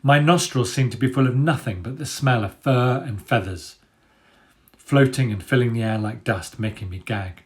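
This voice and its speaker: A man, an author telling and reading a children's adventure story aloud in an expressive storytelling style. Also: medium pitch, normal speed.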